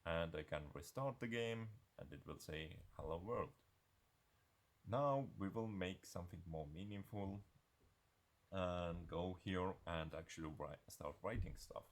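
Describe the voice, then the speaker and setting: A man giving an unscripted software screencast tutorial in a halting, hesitant way. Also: low-pitched.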